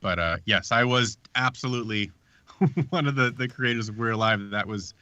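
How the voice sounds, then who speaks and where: A man's voice: medium pitch, normal speed, bright, forceful tone, no echo, highs cut off. A man in his thirties chatting casually as a guest in a live interview, often restarting his sentences as he explains. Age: 30s. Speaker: audio drama producer, interview guest.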